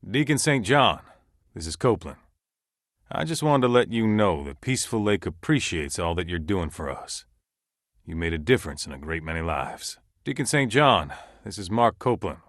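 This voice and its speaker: A man's voice: low voice, a bit bright, dry recording, studio quality. A man acting a folksy, commanding survivor camp leader in a video game, speaking plainly and naturalistically. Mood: sincere, subdued.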